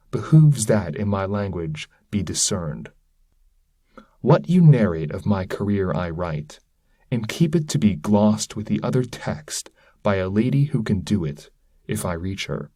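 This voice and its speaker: A man's voice: lowish voice, warm tone, dry recording. A man voicing a reverent, grateful poet pilgrim with earnest, formal, archaic speech in a poetry reading.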